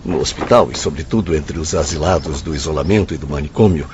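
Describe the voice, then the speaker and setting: An actor with a Brazilian accent playing an earnest, deferential spirit aide in a radio drama, speaking formally. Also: male voice, a bass voice, fast talker. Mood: respectful concern. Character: earnest, deferential male spirit aide reporting to his superior. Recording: dull lo-fi audio, faint noise.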